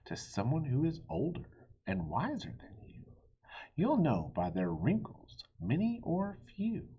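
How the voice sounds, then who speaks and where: Male children's book reader: very raspy-breathy, slow and deliberate, theatrical with hushed dips. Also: fairly high pitch, huge pitch swings, dull audio. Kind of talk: animated read-aloud storytelling for children.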